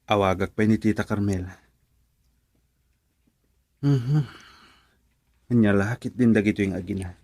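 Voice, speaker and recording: A man's voice: on the low side, unhurried, long pauses, a little mellow, dry recording. A young man with an Ilocano accent playing an easygoing, caring friend in a radio drama, polite and thinking aloud. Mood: friendly.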